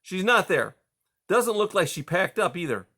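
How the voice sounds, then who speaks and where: A man's voice: sits high, sing-song, normal speed, sharp tone, slightly rough, pitched above the performer's own voice, heavily acted. A man voicing a grown male mouse, a father, who talks patiently but presses for answers.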